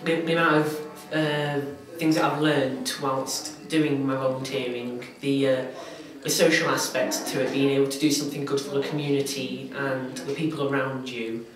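A young man from Carmarthenshire, talking in a measured, hesitant way about youth council volunteering in an interview. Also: mid-range voice. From Carmarthenshire, Wales. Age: young adult. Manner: flat, measured, hesitant with fillers. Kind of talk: documentary interview. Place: indoor room. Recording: very echoey, distant, music over.

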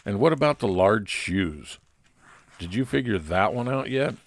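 A man's voice: lowish voice, expressive, a little slow. A man narrating a scripted first-person private investigator story in old-time radio detective style.

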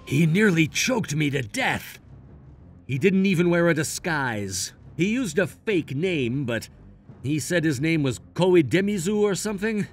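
A man voicing an earnest, loyal young gangster in an anime-style cartoon, presenting to viewers with animated delivery. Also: on the high side, unhurried. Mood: grim.

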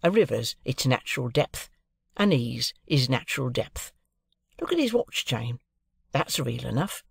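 A woman's voice: rumbling low, big pitch swings, unhurried, vocal fry, slightly rough, dry recording, full character voice. A woman voicing a proud, shrewd, eccentric male clerk in an audiobook, with emphatic, confiding, colloquial talk. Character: chirpy, proud middle-aged London clerk, man, shrewd and eccentric.

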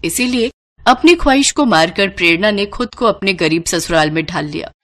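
A woman's voice: mid-range voice, lively intonation, moderate pace, a bit bright. A woman narrating a scripted moral bedtime story as storyteller.

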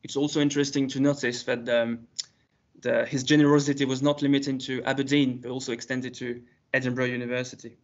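A man reads a prepared academic paper in a measured, careful way, now and then restarting a word. His voice sits at an average pitch. The video call audio is slightly muffled. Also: flat delivery. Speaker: conference presenter.

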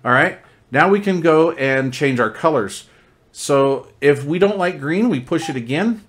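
A man's voice: on the high side, expressive, unhurried, a bit bright. A man talking casually through a hands-on product demonstration, explaining features step by step as a reviewer.

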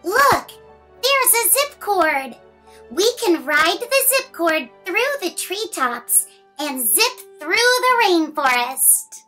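A woman's voice: very high-pitched, very animated, slow, pushed and bright, soft background music. A woman in her thirties reading a picture book aloud to young children, playful and exaggerated, asking the listeners questions.

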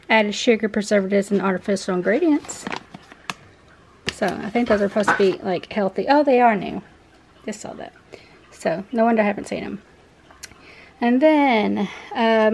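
Young female home vlogger, medium pitch, slow rambling casual delivery, noticeable background noise. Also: creaky, darkish tone. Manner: chatty, rambling, self-correcting. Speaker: vlogger, parent showing a grocery haul. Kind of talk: casual unscripted product show-and-tell. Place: indoors in a home kitchen.

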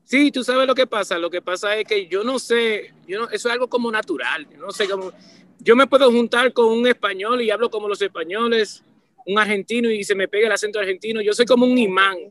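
A man's voice: high-pitched, brisk. A man in his forties with a Caribbean Spanish accent, a band leader chatting animatedly in an interview over a video call. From Puerto Rico and Dominican Republic. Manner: animated, repeats "¿me entiendes?", restarts often. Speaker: band leader, musician guest. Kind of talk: casual interview chat. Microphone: video call webcam.

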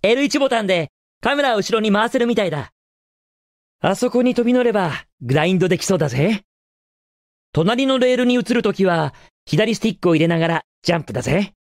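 A man's voice: high-pitched, no room sound, studio quality. A male actor voicing a cocky, daring young cartoon hedgehog hero in a video game, with cool, confident, casual delivery. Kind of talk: video game voice acting, short in-game lines.

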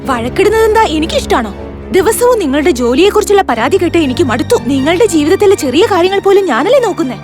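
A woman playing a quarrelsome, sharp-tongued young wife in a fairy-tale cartoon, talking in an emphatic, complaining way. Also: very high voice, very animated, quick pace, over music. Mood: nagging, annoyed.

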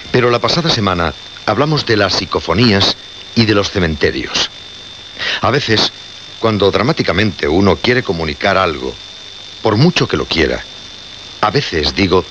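Ominous occult radio presenter, very deep voice, sweeping intonation, dramatic pauses, horror radio play. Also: male voice, fairly bright tone. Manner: deliberate, dramatic pauses and repetitions. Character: solemn, ominous male radio host of the occult. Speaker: radio actor. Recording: muffled, noisy vintage radio recording.

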